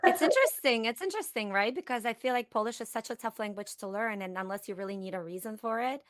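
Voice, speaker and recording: A woman's voice: on the high side, fast, no room sound. A woman hosting a podcast, asking her guest questions in a friendly, upbeat way. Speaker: podcast host. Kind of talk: podcast interview.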